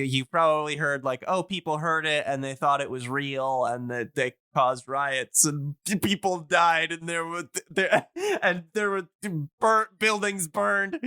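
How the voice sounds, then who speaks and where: A man's voice: a little high, measured pace, bright, forceful tone, clear, resonant tone, no echo, studio quality. A man hosting a podcast in casual chat, explaining things enthusiastically and rambling as he searches for words. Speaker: podcast host.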